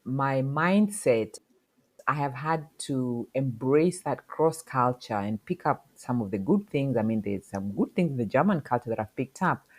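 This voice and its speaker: Brief: middle-aged woman, podcast guest, unusually low pitch, thoughtful and searching, lots of fillers. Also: expressive, a little mellow. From Kenya. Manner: reflective, halting, many fillers. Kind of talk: casual interview talk.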